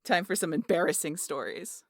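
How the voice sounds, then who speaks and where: A woman acting a rowdy, muscular aunt in an audio roleplay. The voice is on the low side and closely recorded with a dry sound, swaggering and teasing as it spins tales. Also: moderate pace, no room sound. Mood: gleeful, boastful. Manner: swaggering, foul-mouthed, animated storyteller. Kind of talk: ASMR roleplay audio drama.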